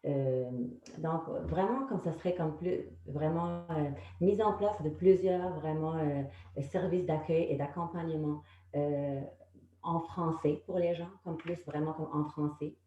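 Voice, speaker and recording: A woman's voice: low voice, steady tone, a little slow, mellow. A woman from Morocco, an HR officer, speaking hesitantly on a video call panel. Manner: hesitant, many fillers and restarts. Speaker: human resources officer. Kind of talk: panel testimony. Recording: roomy, dull video call audio.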